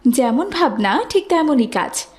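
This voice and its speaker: A woman narrator with a high voice tells a scripted children's story. She reads it as a moral tale. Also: sing-song, measured pace, a little mellow.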